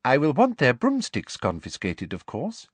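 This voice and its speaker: A man's voice: mid-range voice, huge pitch swings, normal speed, husky, no room sound, pitched above the performer's own voice. A man voicing a smug, vindictive older female school official in an audiobook, prim, precise and self-satisfied. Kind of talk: audiobook character voice.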